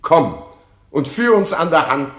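A man playing a remorseful king and father in a classic radio drama, speaking verse in an earnest, theatrical, deliberate way. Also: fairly high pitch, very animated, fairly bright tone, reverberant. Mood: grateful joy. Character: remorseful king and father, reunited with his wife.

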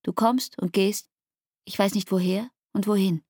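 A wounded young woman in a radio play, reproaching her lover. Her voice is husky and breathy, close and dry, with a creaky edge and a searching, intimate manner. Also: medium pitch, fairly even intonation, a little slow, a little mellow, dry recording. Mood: pleading, anguished. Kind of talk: literary radio drama acting.

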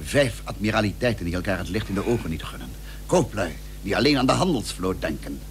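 An actor playing a courteous, persuasive statesman in a period radio drama, with formal, measured, confiding speech. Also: fairly low pitch, lively intonation. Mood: concerned. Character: courteous, persuasive male statesman of the Dutch Republic. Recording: humming, noisy old radio recording.

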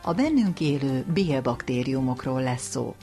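A woman's voice: extremely low pitch, lively intonation, dark tone, faint music behind. A woman radio presenter reading scripted narration for a science programme.

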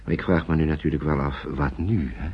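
A weary, polite wartime refugee gentleman in a radio play. His voice is very low and husky, with formal, halting phrases that double back on themselves. The old recording sounds dull. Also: steady tone. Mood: grateful, polite. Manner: formal, hesitant, repeating himself. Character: courteous weary older Englishman fleeing with children in wartime. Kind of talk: radio drama acting, naturalistic.

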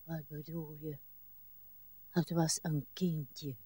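An actress playing a weary, plaintive old wife in a radio drama, by turns murmuring and insistent. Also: female voice, low voice, little pitch movement, warm tone, breathy edge, no room sound, studio quality, heavily acted. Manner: slow, theatrical, swinging between murmurs and cries. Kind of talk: broadcast radio drama acting.

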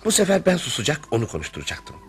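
Animated, earnest male storyteller. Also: on the high side, rapid-fire, over loud music. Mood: reflective, wry. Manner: theatrical, reflective storytelling, emphatic protests. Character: earnest, anxious male narrator, sympathetic family friend. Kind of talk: radio theatre narration and dialogue.

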